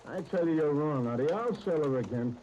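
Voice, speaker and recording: On a faintly crackling old radio disc, a male actor plays a streetwise talent agent. He talks in insistent, colloquial wise-guy slang with a mellow tone, in 1930s radio drama style. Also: fairly high pitch, expressive, a little fast, highs cut off, lightly acted. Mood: insistent, reassuring. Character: slangy, loyal, streetwise show-business agent.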